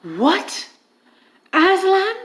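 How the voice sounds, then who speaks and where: Cruel witch queen, voiced by a woman reader, imperious, menacing, high-pitched, storybook villain. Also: sing-song, extremely slow, full character voice. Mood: alarmed, threatening. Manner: commanding, sharp questioning.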